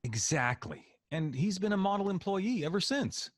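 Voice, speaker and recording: A man playing a wry, confident character in a superhero audio drama, with a husky voice. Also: sits high, expressive, normal speed, no room sound, clean studio sound. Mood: assured. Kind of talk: amateur audio drama acting.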